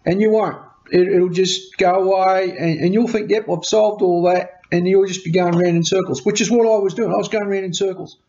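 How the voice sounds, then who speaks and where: A middle-aged man with a fairly high voice, nursing a cold, chatting loosely to a webcam. He stumbles and repeats words as he explains. The sound is dull and lo-fi, recorded in a small cluttered workshop room. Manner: chatty, repeats words, animated hand gestures. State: has a cold. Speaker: tech vlogger. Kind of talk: casual rambling explanation to camera. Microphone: webcam at desk.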